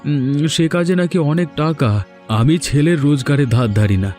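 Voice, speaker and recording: A man's voice: fairly high pitch, expressive, moderate pace, darkish tone, soft background music, pitched above the performer's own voice, natural voice. A man voicing a poor, humble middle-aged farmer in a cartoon, speaking deferentially and hesitantly. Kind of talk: Bengali cartoon dubbing.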